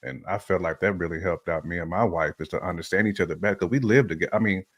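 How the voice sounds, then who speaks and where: A man with a low voice talks as a co-host in a casual, opinionated style. The sound is dry and close. He uses colloquial phrasing and repeats himself to drive a point home. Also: fast talker, fairly bright tone, dry recording. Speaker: talk show co-host.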